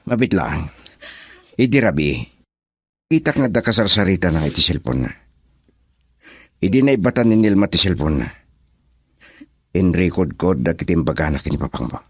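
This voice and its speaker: An actor playing an earnest, wronged young lover in an Ilocano radio drama, insistent and dramatic, with an Ilocano accent. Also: male voice, low-pitched, sing-song, moderate pace, pauses often, slightly rough, no room sound, clean studio sound, exaggerated voice.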